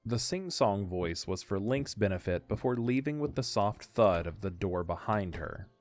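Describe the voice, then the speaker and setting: Male narrator for fantasy audiodrama, lower register, reading over a music bed. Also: expressive, moderate pace. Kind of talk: scripted story narration.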